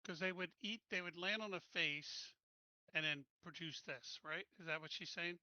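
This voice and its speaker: Bright-voiced player pressing questions. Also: mid-range voice, normal speed, no room sound. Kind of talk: casual game chat. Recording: dull lo-fi sound.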